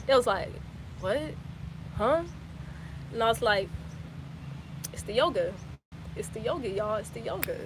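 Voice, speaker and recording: Young woman, early twenties, slangy and repetitive chatter, slightly high voice, phone mic with faint street noise. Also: animated, measured pace, pauses often, poor audio. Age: 20s. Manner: chatty, repeats phrases, slangy. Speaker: livestreamer. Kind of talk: casual live chat with viewers.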